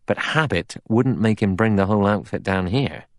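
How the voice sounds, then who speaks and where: A man's voice: lowish voice, animated, normal speed, no echo, lightly acted. Guy doing an ex cop inspector, blunt, grunty, suspicious of everyone, audiobook character.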